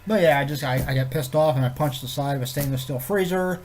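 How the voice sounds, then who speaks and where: A man with a head cold, sounding congested and nasal, chatting to camera in a low-key, meandering way with loose swearing. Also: fast talker, a little mellow, a little hiss or hum. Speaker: vlogger. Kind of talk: rambling casual chat to camera.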